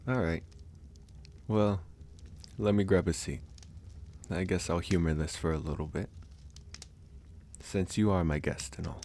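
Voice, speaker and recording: A man playing a calm, candid, easygoing werewolf in an intimate ASMR audio roleplay, musing unhurriedly with sighs and pauses. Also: deep voice, slight background noise. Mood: relaxed, obliging.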